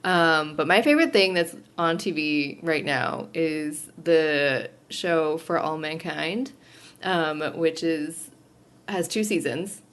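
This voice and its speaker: A woman's voice: sits low, slow, fairly bright tone. A young woman co-hosting a podcast, talking casually and laughing often, rambling with fillers. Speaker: podcast co-host. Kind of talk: casual chat.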